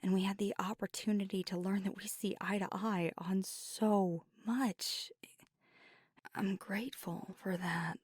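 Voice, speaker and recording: A woman voicing a weary, guarded but tender young werewolf girlfriend in an intimate ASMR roleplay, speaking in a breathy, husky, halting hush. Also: medium pitch, fairly even intonation, no room sound, studio quality. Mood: weary, confiding. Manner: hushed, halting, confiding.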